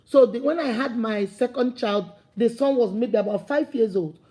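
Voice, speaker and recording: A Nigerian-accented woman delivers a fired-up motivational talk, her high voice husky at the edges but mellow in tone. She preaches in repeating, building phrases that rise to emphatic calls. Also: moderate pace. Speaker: motivational speaker. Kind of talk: impassioned motivational talk to camera.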